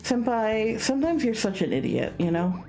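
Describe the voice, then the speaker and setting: A woman's voice: on the high side, very animated, moderate pace, mellow, pitched above the performer's own voice. Woman voicing a hesitant, exasperated young man. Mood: hesitant, questioning. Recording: music behind the voice.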